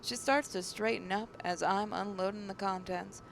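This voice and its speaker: A woman's voice: medium pitch, fairly even intonation, moderate pace, creaky, a little hiss or hum. A woman gaming host reading visual novel narration aloud, with occasional stumbles.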